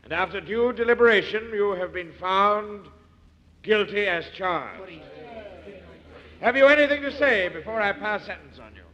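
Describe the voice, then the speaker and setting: An actor playing a stern, solemn judge in a 1940s radio drama, formal and declamatory. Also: male voice, high-pitched, lively intonation, normal speed, fairly bright tone. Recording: hollow reverberant old radio recording, dull.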